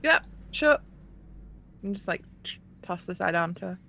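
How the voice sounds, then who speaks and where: A woman's voice: on the low side, animated, a little slow, creaky, sharp tone, a little hiss or hum. A woman casually narrating her character's actions in a tabletop game, offhand and given to swearing.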